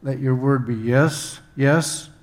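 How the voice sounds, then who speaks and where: A man reads scripture aloud at a very slow pace. His delivery is halting and his pitch is average. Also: steady tone. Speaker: scripture reader. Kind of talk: read-aloud Bible passage.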